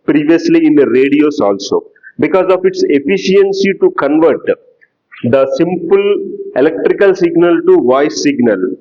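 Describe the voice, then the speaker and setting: A man giving a lecture in a slow, explanatory way that repeats key terms. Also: a little high, expressive, warm tone, muffled recording. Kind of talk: classroom lecture.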